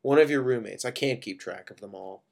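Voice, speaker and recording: A man reading the part of a guarded young woman in a story, with dry, flat, clipped delivery. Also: lowish voice, fairly quick, lightly acted. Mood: curt, dismissive.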